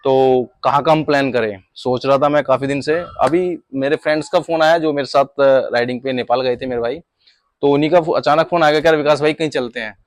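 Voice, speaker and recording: A man's voice: mid-range voice, fairly even intonation, fairly quick, no room sound. A man chatting casually as a motorcycle travel vlogger, conversational and addressing viewers like brothers. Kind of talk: casual vlog chat.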